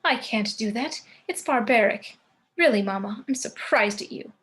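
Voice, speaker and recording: A woman's voice: fairly high pitch, animated, normal speed, fairly bright tone, breathy edge. A woman playing an elegant, grandly morbid matriarch in a script table read, composed and dramatic. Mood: mildly reproving.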